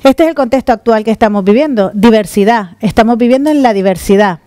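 Woman from the Canary Islands, conference talk, mellow voice, clear tone, dry handheld mic. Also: on the high side, fast talker, dry recording. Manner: lively, gesturing while walking on stage. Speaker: conference speaker. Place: conference room with audience. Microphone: handheld microphone.

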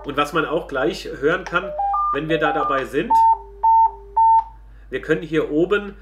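A man's voice: a little high, unhurried, very noisy. A man casually demonstrating a product as a reviewer, chatty and colloquial, speaking directly to viewers.

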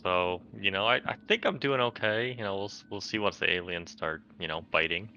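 Guy w a really sharp forceful gravelly voice, halting casual game chat, muffled mic. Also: low-pitched, expressive. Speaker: tabletop game player. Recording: dull lo-fi mic, background noise.

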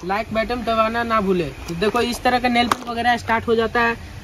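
A young man working as a shop salesman, rattling off items and prices in quick lists. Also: very high voice, level tone, noisy background. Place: crowded cosmetics shop, indoors.

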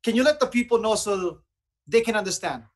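Male co-host, middle-aged, Filipino accent, high-pitched voice, conversational interviewer. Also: expressive, fairly quick. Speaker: talk show co-host. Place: indoor room, on a video call.

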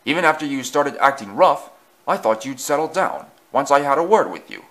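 Man as a booming, stern railway boss. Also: very animated, brisk, fairly bright tone. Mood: stern, disappointed. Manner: booming, reproachful. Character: stern, disappointed male railway controller.

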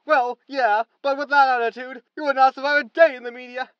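A woman's voice: sits high, steady tone, fairly quick, a bit bright, smooth, no room sound, muffled recording. A woman playing a slick, cynical tabloid media boss in a sci-fi comedy audio drama, with a sardonic, theatrical manner. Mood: sarcastic. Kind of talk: sitcom audio drama acting.

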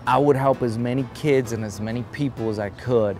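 A man's voice: mid-range voice, brisk, a little mellow, faint music behind. A man in his forties, originally from Guatemala, talking about his life in an outdoor interview, in an earnest, conversational way. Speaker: interviewee, artist. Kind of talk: casual interview talk. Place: outdoor patio in daylight.

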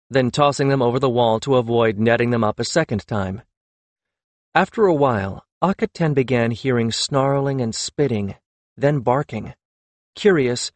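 A man's voice: mid-range voice, no room sound. A man reading a fantasy novel aloud as an audiobook narrator.

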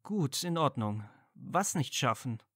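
A man's voice: medium pitch, lively intonation, slow pace, fairly bright tone, husky, dry recording, studio quality, exaggerated voice. A man playing an earnest, loyal, easily flustered young wizard friend in an audio drama. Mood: concerned. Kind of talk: fan fiction audio drama dialogue.

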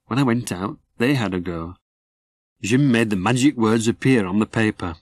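A man reading his own children's story as a measured, scripted narration. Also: lowish voice, no room sound.

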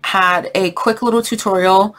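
A woman's voice: mid-range voice, fairly even intonation, moderate pace, fairly bright tone. A woman hosting a casual craft tutorial, chatty and thinking aloud, with a voice congested from a sinus infection. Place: indoors at a craft table.

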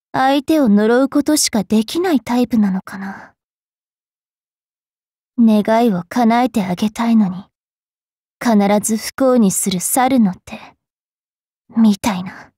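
A woman voicing a bratty, cruel young fairy witch in an anime game, haughty and taunting with a rough tongue. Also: on the high side, mellow, no echo, clean studio sound, full character voice. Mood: moody, tired. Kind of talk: Japanese anime game character voice lines.

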